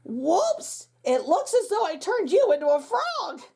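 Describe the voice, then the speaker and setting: A clumsy male stage magician from a storybook, showy and flustered, his voice jumping high and bright, as a woman reads him aloud. He squeaks up and down with lively swoops. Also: unhurried, vocal fry, heavily acted.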